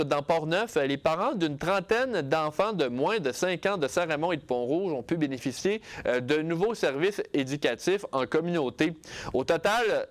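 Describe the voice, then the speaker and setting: A man presents a regional year-end news review. His voice sits a little high, and he reads his script steadily with the occasional hesitation. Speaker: news host.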